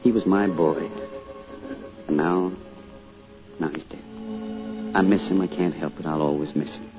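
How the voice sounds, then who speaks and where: A man's voice: sits low, steady tone, a little slow. An actor playing a subdued, grieving middle-aged father and small-town shopkeeper, plain-spoken and hesitant, in an old-time radio drama. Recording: muffled old radio recording, music behind.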